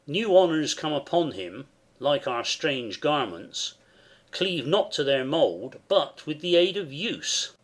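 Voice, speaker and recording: An actor playing a wary, thoughtful noble soldier in a dramatic Shakespeare reading. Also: male voice, a little high, sing-song, slow pace, heavily acted. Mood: wry, measured.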